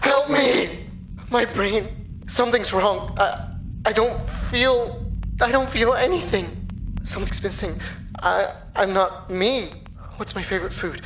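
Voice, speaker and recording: A woman playing a terrified, disoriented victim trapped in darkness, halting and pleading, in a horror audio drama. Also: medium pitch, a bit bright, slight background noise, close to an everyday voice, poor audio. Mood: pleading, panicked.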